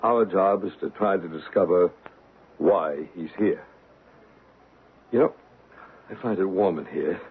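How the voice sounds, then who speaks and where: Calm ghost investigator, low muffled voice. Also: male voice, gravelly, breathy edge, exaggerated voice. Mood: composed. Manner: measured, explanatory, formal. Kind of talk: radio drama acting. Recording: tinny old radio broadcast.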